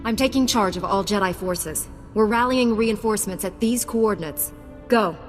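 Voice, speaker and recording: A woman playing a calm, resolute Jedi knight in a video game, speaking with earnest firmness. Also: medium pitch, normal speed, natural voice. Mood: firm. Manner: composed, earnest, firm. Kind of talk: scripted game dialogue. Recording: music behind the voice.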